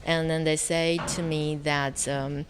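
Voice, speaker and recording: Low-pitched woman with a Taiwanese accent. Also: little pitch movement, noisy background. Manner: halting, searching for words. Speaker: interview guest, film director. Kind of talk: conversational interview answers.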